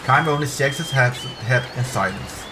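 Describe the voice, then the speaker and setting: A man's voice: mid-range voice, lively intonation, moderate pace. A middle-aged man reading a story aloud in a narrator's style, occasionally stumbling over words. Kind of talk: dramatic story read-aloud. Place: indoor room at a streaming desk. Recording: clear background ambience noise.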